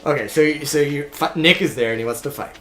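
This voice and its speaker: A man's voice: fairly high pitch, fairly quick, a bit bright. A young man chatting casually as a gaming co-host, offhand as he guides and prompts his partner. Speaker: let's play co-host. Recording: game music clearly behind. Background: video game music.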